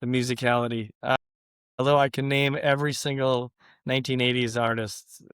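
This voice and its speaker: A man's voice: medium pitch, flat delivery, a little fast, fairly bright tone, smooth, dry recording, clean studio sound. A middle-aged man with an American accent, chatting casually as an interview guest, whose first language was Yiddish. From born in Lithuania, grew up in Russia and the US Midwest. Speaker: interview guest, company president. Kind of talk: casual chat.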